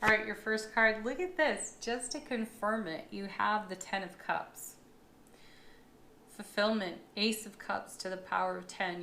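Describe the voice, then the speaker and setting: A woman's voice: mid-range voice, fairly bright tone, slight background noise. Upbeat woman giving a tarot reading. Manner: upbeat and affirming, with thoughtful asides. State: slightly froggy, tired voice. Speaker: tarot reader. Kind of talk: monthly astrology tarot reading. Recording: echoey room with faint ambience.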